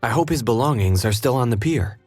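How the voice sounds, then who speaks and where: An actor playing a composed, earnest detective in an adventure game, courteous and deliberate as he guides his partner. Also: mid-range voice, expressive, a little mellow, husky, faint music behind. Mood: composed, resolute. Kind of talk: scripted adventure game dialogue.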